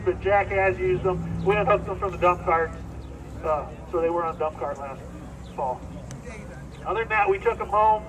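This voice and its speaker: A male auctioneer with a Midwestern American accent addresses a farm sale. His voice is high for a man. It is picked up outdoors, some way from the microphone. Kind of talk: live farm auction calling and announcing. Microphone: distant handheld camera picking up PA. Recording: distant outdoor loudspeaker sound.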